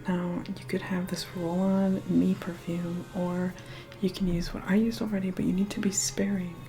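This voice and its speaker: A woman plays an immortal vampire woman in an ASMR role-play, speaking mostly in soft whispers. She is haughty and impatient, giving curt, condescending orders as a reluctant helper. Loud music plays behind her voice. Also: fairly low pitch, level tone, moderate pace, natural voice. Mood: condescending, bossy.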